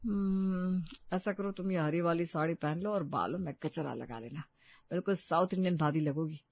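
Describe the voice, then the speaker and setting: A woman voicing a calm, sensible grown man, the elder brother-in-law, in a cartoon story. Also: on the low side, moderate pace. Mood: teasing. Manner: soft, steady, sensible. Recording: dull dry recording.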